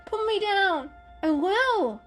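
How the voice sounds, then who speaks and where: A woman's voice: very high-pitched, expressive, slow pace, a bit bright, faint music behind, pitched above the performer's own voice, heavily acted. A woman voicing a frightened, stubborn young male kitten with a whiny delivery in an animal storybook reading. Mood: panicked, loud. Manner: whiny, protesting. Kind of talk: children's animal story character voice.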